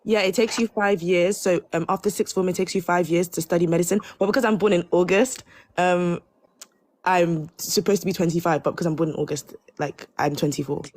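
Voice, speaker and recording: A woman's voice: lowish voice, fairly even intonation, brisk, darkish tone, dry recording. A woman in her twenties from the UK, a guest on a live panel chat, talking hesitantly and apologetically with many fillers. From United Kingdom. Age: 20s.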